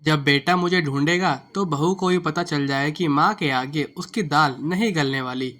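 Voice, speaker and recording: Bright, forceful male story narrator. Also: fairly high pitch, moderate pace. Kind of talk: scripted Hindi moral-story narration. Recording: music bed under the voice.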